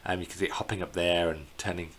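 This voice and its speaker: Low-voiced man, halting, noisy recording. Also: little pitch movement, a bit bright. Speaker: bird tour guide presenting a virtual tour. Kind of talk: unscripted slideshow narration. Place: indoors in a small plain room. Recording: clear background noise.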